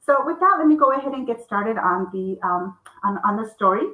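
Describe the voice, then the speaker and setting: A woman's voice: medium pitch, lively intonation, a little fast, pushed and bright. Earnest woman author on an echoey video call. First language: Spanish. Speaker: children's book author. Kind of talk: library author talk.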